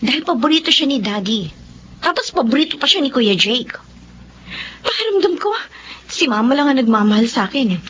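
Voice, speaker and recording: A woman acting a shocked, tearful younger sister in a Filipino audio drama, emotive and breathy in her exclamations. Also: high voice, sing-song, fairly quick, fairly bright tone. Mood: hurt, wistful. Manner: emotive, breathy exclamations, Tagalog with some English. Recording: clear background noise.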